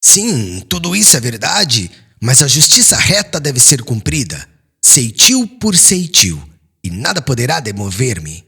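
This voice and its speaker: Stern elderly Hebrew patriarch played by a male reader, rough-edged voice, indignant and unbending, very animated audiobook drama. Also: mid-range voice, a little slow, exaggerated voice. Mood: resolute. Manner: solemn, indignant, forceful oratory. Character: stern, wounded old Hebrew father, stubbornly bent on justice.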